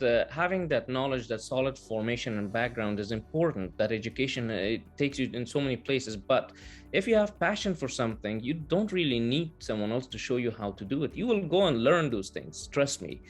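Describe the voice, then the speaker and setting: A man whose first language is Persian, giving measured, earnest interview answers with filler pauses over a video call. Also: medium pitch, lively intonation, faint music behind. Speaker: guest, translation business owner.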